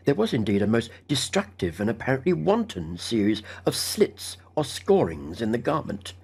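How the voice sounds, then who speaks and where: A man's voice: mid-range voice, darkish tone, slightly rough. A man narrating a literary ghost story as an audiobook reader.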